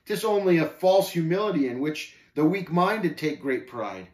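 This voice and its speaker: A male storyteller puts on an arrogant, contemptuous scoffer from an old-fashioned allegory. He gloats and snaps in archaic phrases, his pitch swinging widely in a roomy, echoing space. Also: lively intonation, normal speed, close to an everyday voice. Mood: mocking. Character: haughty sneering scoffer named Shame, taunting pilgrims.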